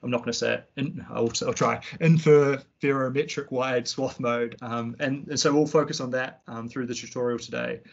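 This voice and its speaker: A young man with a New Zealand accent, giving a tutorial over a slide presentation in a relaxed way, with frequent ums and restarts. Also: medium pitch, moderate pace, vocal fry, few pauses, lo-fi sound. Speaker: fisheries analyst giving a tutorial. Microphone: computer mic on a video call.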